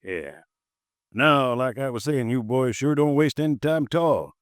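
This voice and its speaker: A folksy, easily riled insurance agent in his fifties, voiced by a man in an old radio drama. His pitch swings widely as he talks. The sound is dry and close. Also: lively intonation, measured pace, no echo, natural voice. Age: 50s. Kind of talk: 1950s radio drama acting. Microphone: close studio mic, dry.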